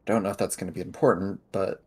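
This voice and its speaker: A man's voice: low voice, steady tone, quick pace, warm tone, breathy edge. A man playing a cautious, practical schoolboy investigator in an improvised tabletop game, hesitant and understated. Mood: tentative.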